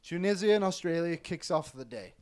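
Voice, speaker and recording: A man hosting a sports podcast, chatting casually and reading out betting odds in a lively way. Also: a little high, fairly quick, clean tone. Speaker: podcast host.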